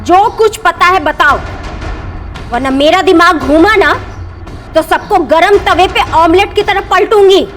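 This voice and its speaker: A volatile madam in a TV crime drama. Her extremely high voice is shrill and threatening. Soft music sits behind it. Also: unhurried. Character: threatening brothel madam, volatile and bullying woman.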